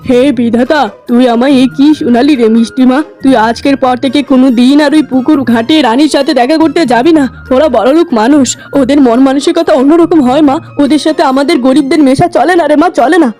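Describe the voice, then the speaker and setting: A woman's voice: high-pitched, steady tone, fast talker, hardly any pauses, mellow, lightly acted. A woman voicing a stern, anxious poor village parent, scolding and insistent, in a melodramatic children's cartoon. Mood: alarmed, forbidding. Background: music.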